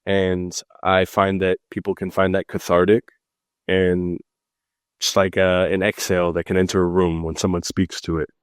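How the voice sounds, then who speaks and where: Low-voiced, relaxed man. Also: moderate pace, clear, resonant tone, no echo, clean studio sound. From Los Angeles, United States. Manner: relaxed, joking, loose conversational talk. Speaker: guest, psychic and mentor. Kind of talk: casual podcast interview.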